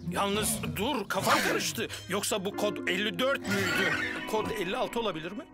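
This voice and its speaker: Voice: mid-range voice, normal speed, natural voice. An actor voicing an earnest, rule-bound and easily muddled police officer vehicle in a children's cartoon dub, officiously announcing things. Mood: confused. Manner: officious, reciting emergency codes. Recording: loud music behind the voice.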